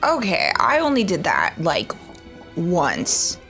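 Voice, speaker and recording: Woman player, lowish voice, gets heated in character, music under. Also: slow. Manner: animated, argumentative in character. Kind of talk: tabletop roleplay, in character.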